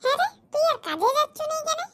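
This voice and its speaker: A performer plays an incredulous cartoon villager with a very high, squeaky voice. He keeps asking questions and doubting what he hears. A faint hiss runs underneath. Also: very animated, quick pace. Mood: curious. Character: curious, skeptical village man, the friend. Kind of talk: cartoon comedy voice acting.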